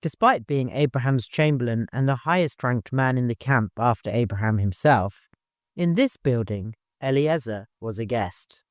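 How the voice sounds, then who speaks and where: Smooth-voiced man narrating an audiobook. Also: medium pitch, expressive, normal speed, no room sound, studio quality. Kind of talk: scripted literary narration.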